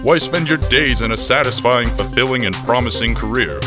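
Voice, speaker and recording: Actor as contemptuous advert announcer, male, medium pitch, deadpan salesman polish, parody commercial, prominent music bed. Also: normal speed, lightly acted. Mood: mock-upbeat contempt.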